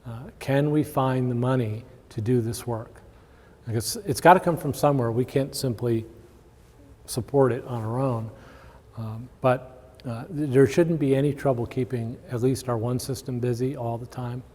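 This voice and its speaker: A man's voice: mid-range voice, steady tone, moderate pace, a little mellow. A man presenting at a conference, talking informally and answering questions from the audience. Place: large indoor conference room with long reverb. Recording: reverberant hall.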